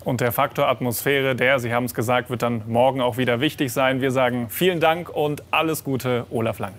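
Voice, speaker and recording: A man presenting sports news and interviewing a coach in a formal, measured way. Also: mid-range voice, steady tone, fairly bright tone, slight background noise.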